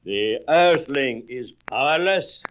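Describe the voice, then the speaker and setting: An actor playing an arrogant, boastful alien invader with deliberate speech in a comic book audio drama. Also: male voice, medium pitch, lively intonation. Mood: disbelieving. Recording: dull old record with faint noise, clicks.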